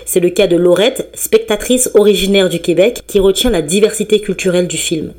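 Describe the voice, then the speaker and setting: A woman reporter voicing a scripted radio news report in an even, measured way. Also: mid-range voice.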